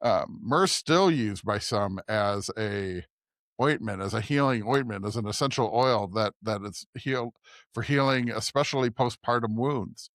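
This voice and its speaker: A man co-hosting a podcast as a preacher, discussing scripture conversationally in a halting way, searching for words and often checking in with "right?". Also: fairly low pitch, fairly bright tone, dry recording, studio quality.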